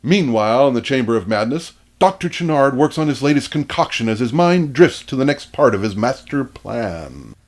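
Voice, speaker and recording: A man playing the narrator of a superhero radio play, telling it in a measured, scripted storytelling style. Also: medium pitch, sing-song, close to an everyday voice. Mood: dramatic narration.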